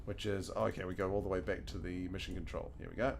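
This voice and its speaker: A man's voice: deep voice, level tone, fast talker, gravelly. A man with a New Zealand accent chatting casually and thinking aloud as he plays a video game, chuckling often. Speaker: gaming streamer. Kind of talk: casual commentary while playing a video game.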